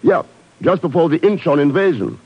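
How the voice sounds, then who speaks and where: An actor playing a terse, determined secret agent in a 1950s radio spy drama. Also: male voice, on the high side, very animated, normal speed, breathy edge, exaggerated voice. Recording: dull vintage radio recording.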